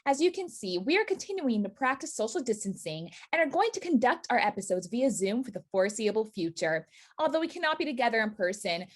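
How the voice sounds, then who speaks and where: Young woman presenter, high and lively. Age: young adult. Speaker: student news show host. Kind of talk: scripted presenting. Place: remote, on a video call.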